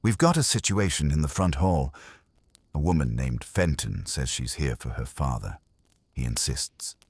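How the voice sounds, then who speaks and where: A man's voice: rumbling low, lively intonation, moderate pace, husky, no room sound, full character voice. A man voicing a wry, streetwise detective's assistant with casual, slangy, teasing talk in a mystery audiobook.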